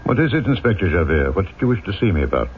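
Deep dignified small town mayor, caring but commanding, old radio drama w thin phone-like sound. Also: male voice, big pitch swings, racing, slight background noise. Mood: puzzled. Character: compassionate, authoritative male town mayor. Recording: tinny old radio broadcast, faint music.

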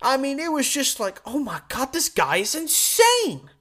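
A man's voice: extremely high pitch, wildly swooping pitch, a little slow, fairly bright tone. An American man hosting a sports show, delivering an opinionated solo commentary in an emphatic, conversational way. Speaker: sports podcast and radio host.

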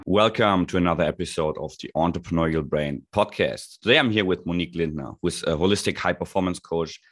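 A man from Germany with a German accent, hosting a podcast, reading a scripted intro and then asking loose questions. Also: low-pitched. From Düsseldorf, Germany. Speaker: podcast host.

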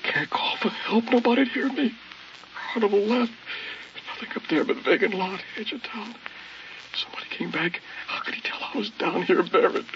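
A man's voice: high voice, moderate pace, lightly acted. An actor playing a desperate, pleading man buried alive in an old radio horror play, melodramatic and gasping. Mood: despairing. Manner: anguished, melodramatic, gasping between pleas. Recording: dull, noisy old radio recording, distant.

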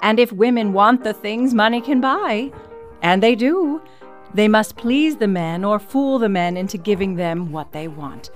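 A woman's voice: mid-range voice, animated, unhurried, faint music behind, natural voice. A woman reading a letter dramatically as an indignant, independent middle-aged firebrand of the 1920s, emphatic and argumentative. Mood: fed up.

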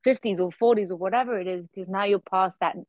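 A woman's voice: medium pitch, fast talker, no echo. Woman in her thirties, dry muffled sound. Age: 30s. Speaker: podcast host. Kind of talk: casual conversational discussion.

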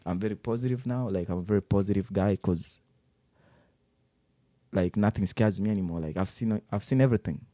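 Young man from the Congo, deep mellow voice, halting storytelling full of fillers. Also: no room sound, studio quality. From Democratic Republic of the Congo, raised in Uganda. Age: 20s. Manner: halting, many fillers and restarts. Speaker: interviewee. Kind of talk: personal life story interview.

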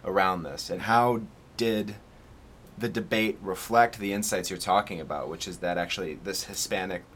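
Male interviewer, low pitch, measured questions, slight background hum. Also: fairly bright tone. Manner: long, careful questions with hedges. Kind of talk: conversational interview.

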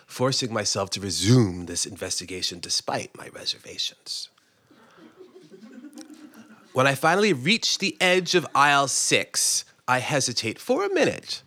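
Animated, bright-voiced male story reader. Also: mid-range voice. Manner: theatrical, acts out characters, breaks into chuckles. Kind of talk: dramatic comic audiobook narration.

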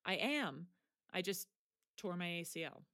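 Woman acting dry, lowish-voiced sardonic teenage girl. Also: unhurried, no room sound, heavily acted. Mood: dry, challenging. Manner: dry, deadpan, blunt. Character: sardonic, injured teenage girl, high school soccer player. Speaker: actor in audio drama. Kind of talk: naturalistic audio drama acting.

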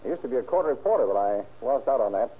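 An affable American guy down on his luck in a vintage radio crime drama. He talks in casual slang with a husky voice and very animated intonation. The recording is muffled and lo-fi. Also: mid-range voice, very fast. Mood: eager, agreeable. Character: easygoing, down-on-his-luck American man angling for work. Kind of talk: old-time radio crime drama acting.

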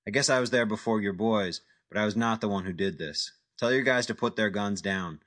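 A man's voice: on the low side, brisk, a bit bright, natural voice. A man voicing a brash, talkative male ex-actor in over his head.

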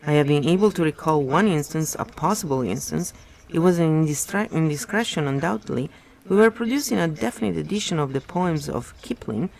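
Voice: medium pitch, lively intonation, fairly quick, creaky, hardly any pauses, darkish tone. A reader voicing a mild, distracted, pedantic middle-aged male poet held in a prison cell. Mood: vague. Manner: mild, distracted, fussily precise. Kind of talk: audiobook-style reading aloud.

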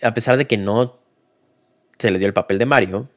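A man's voice: lowish voice, moderate pace. A man giving a casual spoken film review, hesitant, with many fillers and restarts.

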